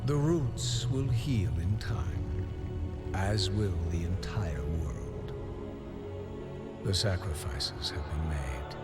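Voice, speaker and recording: An actor playing a mysterious, wise old male prophet, narrating a game cinematic in a solemn, oracular way. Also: sits low. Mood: solemn, serene. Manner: oracular, measured. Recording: loud music behind.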